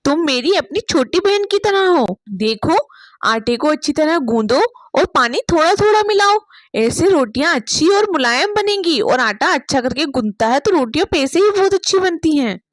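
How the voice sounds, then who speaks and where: A woman voicing a capable, caring elder sister-in-law with an instructive, gently firm manner, in storybook dialogue. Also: high-pitched, animated, moderate pace, dry recording. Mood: reassuring.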